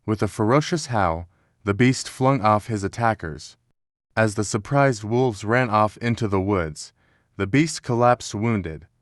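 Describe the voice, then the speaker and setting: A man narrating a scripted children's story in a measured, dramatic storytelling manner. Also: fairly low pitch, dry recording, studio quality.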